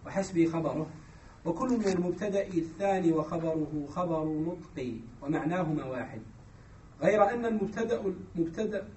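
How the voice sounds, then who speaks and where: A man, a student in the class, reading a classical grammar commentary aloud in a measured recitation. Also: fairly high pitch, warm tone, a little hiss or hum. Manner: measured recitation of a written text. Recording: distant, echoey room with faint ambience.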